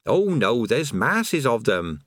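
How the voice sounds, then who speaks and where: A man's voice: medium pitch, very animated, slow pace, a bit bright, dry recording, studio quality, full character voice. A man voicing a chatty, rambling world-maker. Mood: breezy. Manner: chatty, rambling, casual workman's talk. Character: cheerful absent-minded male creator of worlds, chatty tradesman.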